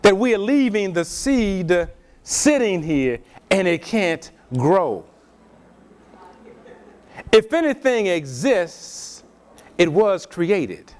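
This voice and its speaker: High-voiced man lecturing emphatically. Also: big pitch swings, a little mellow. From Louisiana, USA. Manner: slow, emphatic, preacher-like delivery. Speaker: speaker at a community event. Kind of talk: lecture with call and response.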